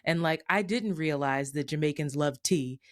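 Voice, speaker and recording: A woman's voice: deep voice, moderate pace, dry recording, clean studio sound. A woman talking through her thoughts in a candid, casual podcast chat, with some casual swearing.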